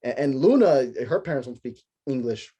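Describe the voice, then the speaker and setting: A man's voice: medium pitch, normal speed, slightly rough. A young American man, a native Spanish speaker, hosting a casual podcast chat and telling stories with lots of fillers and acted-out reactions. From United States. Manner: animated storytelling with many fillers and acted-out reactions. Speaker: podcast host.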